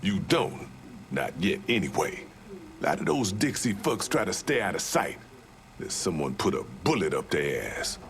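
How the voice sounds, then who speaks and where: A man's voice: deep voice, big pitch swings, moderate pace. An actor voicing a streetwise, embittered Southern man in a video game, with folksy, salty talk. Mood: bitter, scheming. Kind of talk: video game cutscene acting.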